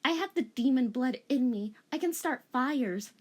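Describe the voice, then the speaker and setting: A woman's voice: high voice, lively intonation, moderate pace, a little mellow, slightly rough, pitched above the performer's own voice. A woman voicing an earnest, formal young half-demon anime heroine in a theatrical, sugary polite way.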